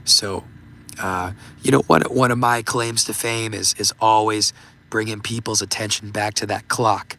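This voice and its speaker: Hoarse gravelly man rambling on a livestream. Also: on the low side, level tone, bright, forceful tone. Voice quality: gravelly, voice giving out. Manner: rambling, halting, many fillers and restarts. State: hoarse, losing his voice. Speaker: livestream host. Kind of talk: casual livestream talk reading super chats.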